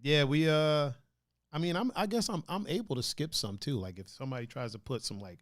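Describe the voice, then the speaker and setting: A man's voice: a little high, expressive, a little fast, dry recording, studio quality. A man co-hosting a casual podcast from home, joking, laughing often and reading out the live chat. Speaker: podcast co-host.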